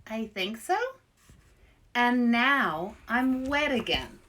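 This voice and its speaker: A grumbly but loyal little male storybook animal, played by a woman reader. He speaks insistently in a high voice that moves up and down a lot. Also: animated, slow, a bit bright, low-quality recording. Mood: kindly.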